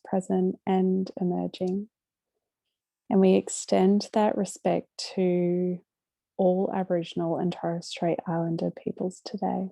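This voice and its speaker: A woman guides a meditation in a very soft, dark-toned, relaxed voice with a gravelly edge of fry. She speaks gently and very slowly, leaving long pauses between short phrases. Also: fairly low pitch, dry recording. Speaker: meditation guide hosting a live session.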